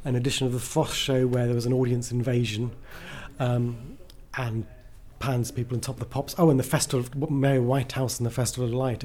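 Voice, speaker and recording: A man's voice: medium pitch, warm tone. A man being interviewed as a writer, chatting casually and enthusiastically, often rambling and breaking into his own sentences.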